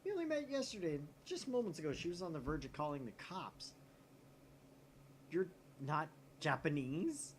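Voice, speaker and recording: A man voicing a paranoid, theatrical young male mad scientist from a visual novel, excitable and suspicious. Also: a little high, moderate pace, slight background noise, voice pushed up. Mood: suspicious, melodramatic. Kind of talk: visual novel read aloud in character.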